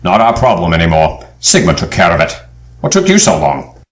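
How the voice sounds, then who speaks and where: A man playing a courteous, wry leader of an adventure team in an audio drama, polite and measured in his wording. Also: low voice, huge pitch swings.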